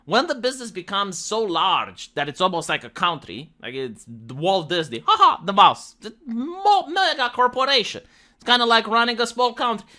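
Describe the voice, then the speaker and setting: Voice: medium pitch, big pitch swings, normal speed, sharp tone. A commentator giving opinionated, casual commentary in an emphatic, exasperated, argumentative way. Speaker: commentary host.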